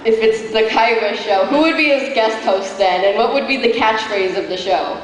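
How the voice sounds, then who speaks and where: A woman's voice: a little high, normal speed. A woman casually asking questions as an audience member at a panel. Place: convention panel room. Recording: very echoey hall with crowd noise.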